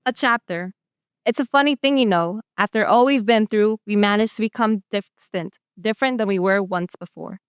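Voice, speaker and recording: A young woman, a student poet, giving a reflective, measured poetry reading with pauses between short phrases. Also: mid-range voice, clear, resonant tone, no room sound, studio quality. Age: young adult.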